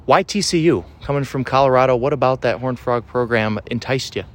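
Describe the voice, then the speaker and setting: A man's voice: medium pitch, fairly quick. A man hosting a baseball pregame show, reading intros and asking questions in an upbeat, scripted announcer style. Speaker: radio host.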